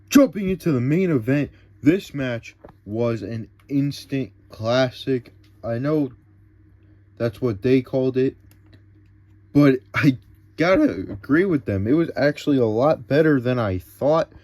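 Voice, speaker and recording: A young man recapping a wrestling event in a casual, rambling way, full of fillers and restarts. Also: lowish voice. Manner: excitable, rambling, filler-heavy. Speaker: wrestling show reviewer.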